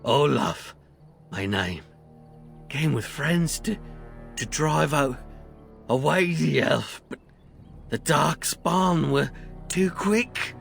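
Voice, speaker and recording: An actor playing a dying, traumatized male survivor in a fantasy video game, speaking in broken, agonized, halting phrases. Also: fairly high pitch, animated, gravelly, faint music behind, exaggerated voice. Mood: haunted, anguished. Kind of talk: video game character acting.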